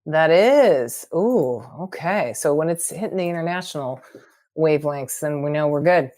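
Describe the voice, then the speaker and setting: Lady host with a low voice, keeps saying yes and good overview, encouraging. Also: animated, normal speed, dark tone. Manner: affirming, encouraging, echoes answers. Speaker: interview host. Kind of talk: conversational interview.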